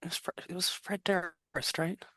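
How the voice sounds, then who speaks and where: A woman co-hosting a podcast in casual, animated, exclamatory chat. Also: on the low side, normal speed. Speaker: podcast co-host.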